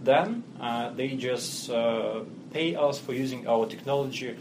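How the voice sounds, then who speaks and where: A man's voice: mid-range voice, a little slow. Russian-accented man, CEO guest, fluent but foreign phrasing, noisy recording. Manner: fluent but non-native phrasing, businesslike, frequent fillers. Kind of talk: podcast interview.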